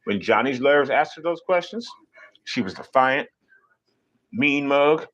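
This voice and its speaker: A man ranting on a casual live stream, animated and profane, mocking people with sudden high mimicking voices. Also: measured pace, muffled recording.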